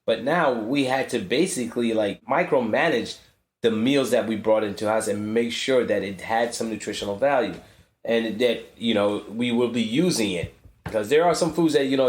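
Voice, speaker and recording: A man co-hosting a podcast, explaining household routines in a steady, practical way. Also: medium pitch, roomy sound. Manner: married couple's parent perspective. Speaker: podcast co-host. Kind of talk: casual podcast talk.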